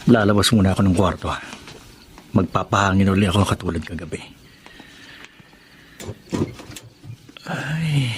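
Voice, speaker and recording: A man's voice: fairly low pitch, fairly bright tone, a little hiss or hum, close to an everyday voice. An actor playing an adventurous, inquisitive young city man, in Filipino radio drama style with a Filipino accent. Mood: restless, musing.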